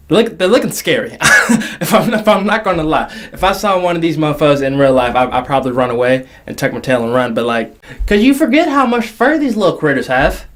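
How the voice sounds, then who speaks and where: A young man hosting a casual entertainment commentary, jokey and slangy, stretching words for emphasis. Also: fairly high pitch. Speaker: video host. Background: background music.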